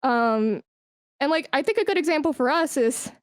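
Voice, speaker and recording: A woman's voice: high-pitched, lively intonation, moderate pace, dry recording, clean studio sound. A woman talking informally as an interview guest, explaining things with examples and emphasis. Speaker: esports brand manager, podcast guest. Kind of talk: conversational interview.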